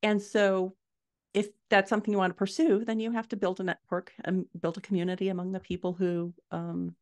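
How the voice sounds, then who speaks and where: A woman's voice: mid-range voice, flat delivery, a little fast. A middle-aged American woman chatting as a guest author on a podcast, thinking aloud as she explains. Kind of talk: casual podcast interview. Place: home room, indoors. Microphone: video call, desktop mic.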